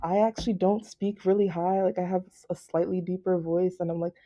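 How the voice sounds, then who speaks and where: A young woman student chatting on a podcast, with a slightly deep voice and heavy use of "like". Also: level tone, a little fast, lo-fi sound. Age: young adult. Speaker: student guest. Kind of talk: casual podcast discussion.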